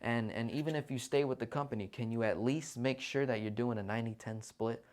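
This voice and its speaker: A man's voice: mid-range voice, fairly quick, a little mellow. Conversational, persuasive man hosting a Q&A. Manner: conversational, persuasive, often checks in with "right?" and "okay?". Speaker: financial Q&A host. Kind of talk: casual livestream Q&A on finance.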